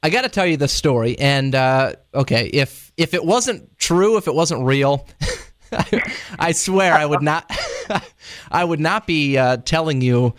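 A man's voice: on the high side, lively intonation, unhurried. A man hosting an interview, talking casually and rambling with many hesitations and chuckles. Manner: rambling, hesitant, laughing asides. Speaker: radio interviewer.

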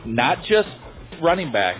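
A man in his late forties, an excited radio color commentator praising a high school player. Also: measured pace. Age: 40s. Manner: enthusiastic, emphatic, repeats words. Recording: tinny phone-like radio line with faint music.

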